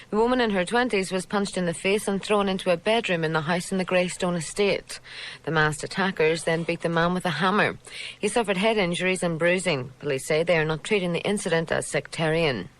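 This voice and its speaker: A woman with a Northern Irish accent reading a scripted radio news bulletin in a formal, measured way. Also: fairly low pitch, poor audio. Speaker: radio newsreader.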